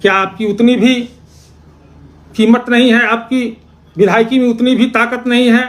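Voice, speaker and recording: A man's voice: extremely high pitch, steady tone, normal speed. A man giving an accusatory political speech, posing rhetorical questions and repeating phrases for emphasis. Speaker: opposition party politician.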